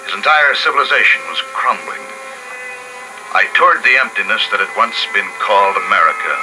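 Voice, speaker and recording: An actor playing a steady, thoughtful adult male survivor in a 1950s radio drama, calm and measured. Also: on the low side, lightly acted, rough recording. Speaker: narrator and lead character. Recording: old radio broadcast with loud score.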